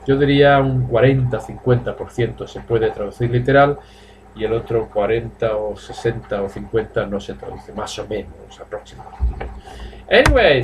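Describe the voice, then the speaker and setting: A man's voice: mid-range voice, a little hiss or hum, poor audio. Man in his fifties teaching English. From Spain. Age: 50s. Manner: repeats phrases slowly for drilling, switches between English and Spanish. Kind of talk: live online class, bilingual English and Spanish. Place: small room at home.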